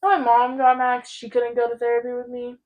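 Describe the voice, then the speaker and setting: Podcast co-host, female, mid-teens, highish voice, candid and informal chat. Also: monotone, fast talker, muffled recording. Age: 16, teenager. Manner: candid, informal, heavy use of "like".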